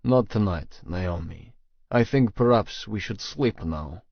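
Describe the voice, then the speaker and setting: A man's voice: lowish voice, animated, takes it slowly, gravelly, no echo, lo-fi sound, full character voice. A man playing a stern, formal Russian man in a romance audiobook, speaking curtly. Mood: stern, restrained. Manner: formal, curt.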